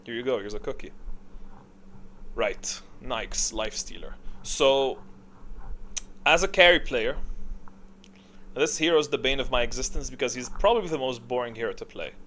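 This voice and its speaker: A man's voice: mid-range voice, animated, normal speed, pauses often, a bit bright. A man giving casual off-the-cuff gaming commentary, rambling as he thinks aloud and corrects himself. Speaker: video creator and gamer. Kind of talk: casual off-the-cuff commentary ranking game characters.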